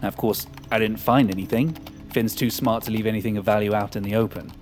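A man's voice: lowish voice, steady tone, fast, darkish tone, lightly acted. Man acting smug, scheming hacker over music. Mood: smug, sardonic. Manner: smug, cynical, sardonic. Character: arrogant, scheming male hacker hungry for power. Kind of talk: audio fiction acting.

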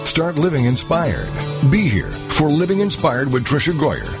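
Lively man reading a radio promo. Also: on the high side, moderate pace. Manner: smooth, promotional. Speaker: radio announcer. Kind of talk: scripted promo read. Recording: station promo over music bed.

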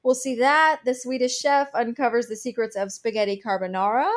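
Podcast co-host, woman with a slightly high voice, brisk and enthusiastic reading listings. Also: steady tone. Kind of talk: upbeat entertainment news rundown.

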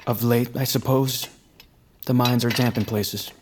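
A man's voice: medium pitch, fairly even intonation, background noise, lightly acted. A man playing a meek, earnest young mountain man in a fantasy audio drama, awkward and stammering. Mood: subdued, hesitant. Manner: halting, stammering, hesitant.

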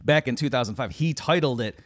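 An animated man co-hosting a conversational talk show. His voice sits at an ordinary middle pitch, and he slips into quick put-on voices when he quotes others. The recording is dry and close, with no room sound. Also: dry recording. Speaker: co-host. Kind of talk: conversational podcast discussion.

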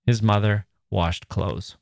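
Chatty, wry male podcast host, low voice. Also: fairly even intonation, slow, vocal fry, darkish tone, dry recording, studio quality. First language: English. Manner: wry, chatty, self-mocking, with laughs and asides to listeners. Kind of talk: scripted podcast narration.

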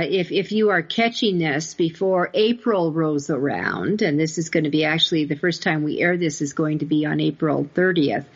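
A woman's voice: deep voice, breathy edge. A mature woman hosting a publishing podcast, chatting in an emphatic, colloquial way. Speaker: podcast host. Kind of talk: conversational interview podcast about book publishing. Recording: dull lo-fi podcast audio.

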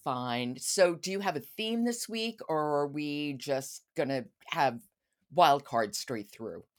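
A woman's voice: low-pitched, animated, slow pace. A woman hosting a podcast, chatting casually about books. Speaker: podcast host. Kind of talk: casual book chat.

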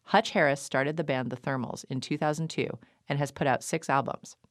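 A woman hosting a radio show about music, reading a scripted intro and then asking interview questions in a measured, conversational way. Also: deep voice, fairly even intonation, no room sound, studio quality. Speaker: radio show host and record label owner.

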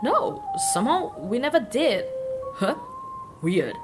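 A woman's voice: fairly high pitch, very animated, takes it slowly, over loud music. A woman acting as a plump, squeamish, cheeky young human traveller in a fantasy audio drama, whiny and given to playful sulking and exclamations. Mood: defensive, puzzled.

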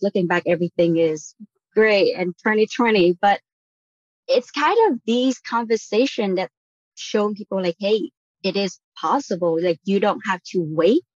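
A woman's voice: medium pitch, no room sound. A woman from Vietnam speaking as a podcast guest, earnest and reflective, often checking in with "right?" as she talks. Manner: earnest, frequent "like" and "right?" tags. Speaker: guest on a real estate podcast. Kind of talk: reflective conversational interview answer. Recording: dull lo-fi audio.

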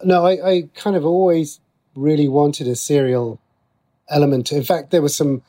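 A man speaking as an interview guest, a writer explaining his creative work in a conversational, halting way, often rephrasing as he goes. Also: fairly high pitch, fairly even intonation, mellow.

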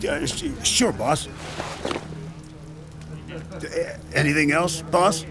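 A man's voice: on the high side, very animated, takes it slowly, vocal fry, heavily acted. An actor playing a dim-witted, nervous mob henchman with a Chicago accent who stammers deferentially. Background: music score.

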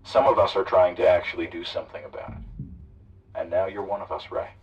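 An actor playing a calm, sardonic male hijacker who threatens and persuades by turns, sounding muffled and distant. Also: deep voice, brisk, husky. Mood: earnest. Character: smooth male eco-activist hijacker, menacing. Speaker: radio drama character.

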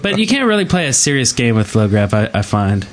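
A man's voice: medium pitch, sing-song, brisk, a little hiss or hum. A man co-hosting a gaming podcast in a casual, chatty style. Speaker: podcast co-host.

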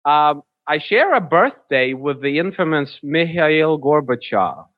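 Russian-accented male guest, wry and self-deprecating, somewhat high voice, dull lo-fi sound. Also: very animated, unhurried. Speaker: podcast guest. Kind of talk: casual interview chat.

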